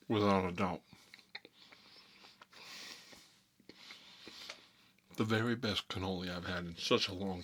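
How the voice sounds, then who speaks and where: A man's voice: fairly low pitch, a bit bright, slight background noise. A man playing a chatty, boastful American mob boss who rambles and repeats himself in a casual ASMR role-play monologue. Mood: chatty, satisfied. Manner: folksy, repetitive, rambling, emphatic. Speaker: ASMR storyteller playing a recurring character.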